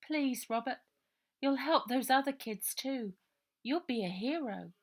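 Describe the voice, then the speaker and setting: A woman's voice: high-pitched, lively intonation, unhurried, voice pushed up, heavily acted. A woman voicing an insistent, earnest young girl in a 1930s children's storybook. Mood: persuasive. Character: determined, persuasive young girl of 1930s England.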